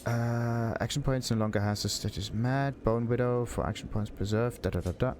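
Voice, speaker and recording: A man giving casual game commentary as a let's play host, thinking aloud in a halting, puzzled way. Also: medium pitch, steady tone, darkish tone. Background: game music.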